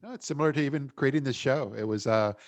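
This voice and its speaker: A man's voice: medium pitch, quick pace. A man hosting an interview show, chatting enthusiastically with many fillers. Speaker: show host. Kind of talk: casual interview chat.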